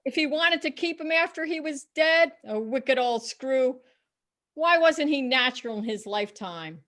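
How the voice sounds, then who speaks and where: A brazen Victorian charwoman played by a woman in a storybook reading. Her voice sits fairly high, and she is scornful, emphatic and entirely unrepentant. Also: lively intonation, normal speed, a bit bright. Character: bold, defiant, unrepentant Victorian charwoman selling stolen goods. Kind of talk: dramatic reading of a classic story.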